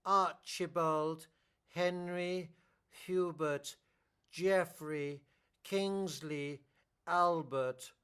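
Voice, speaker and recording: Woman putting on a ponderous, low-pitched male vicar. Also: steady tone, drawn-out words, a bit bright, clean tone. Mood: solemn. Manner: ponderous, ceremonial. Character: solemn male vicar conducting a wedding.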